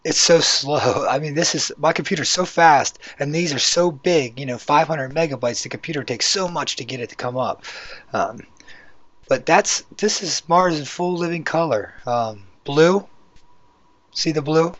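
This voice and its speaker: A man with a hoarse voice hosting a casual, rambling video walkthrough, talking conversationally as he explains what is on screen. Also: on the high side, moderate pace, a bit bright, dry recording. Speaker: YouTube channel host. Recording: dull, lo-fi microphone sound.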